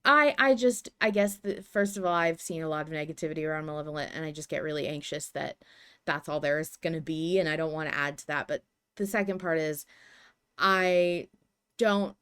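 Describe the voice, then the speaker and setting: A woman's voice: deep voice, moderate pace, a bit bright, clear, resonant tone. A woman co-hosting a podcast in casual discussion, hesitant and self-deprecating as she talks. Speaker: podcast co-host.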